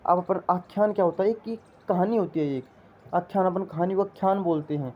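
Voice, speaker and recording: A man's voice: high-pitched, fairly quick. A man teaching an exam-preparation class in an instructional style, explaining in a repetitive, drilling way. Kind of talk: instructional lecture with memory tricks. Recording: dull lo-fi audio.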